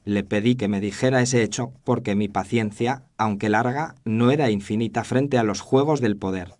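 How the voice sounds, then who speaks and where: A man's voice: on the low side, normal speed, a little mellow, lightly acted. A man narrating as a thoughtful doctor recounting a case in an audio drama, in a reflective, literary manner. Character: thoughtful male doctor narrating his memoir. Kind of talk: scripted narration.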